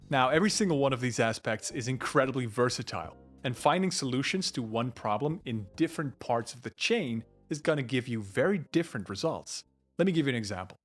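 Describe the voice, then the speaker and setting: A young man with a low, full voice explaining vocal recording techniques to camera in a small home studio, talking with animated hand gestures. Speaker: music producer and vocal coach. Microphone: studio condenser mic on boom arm in front.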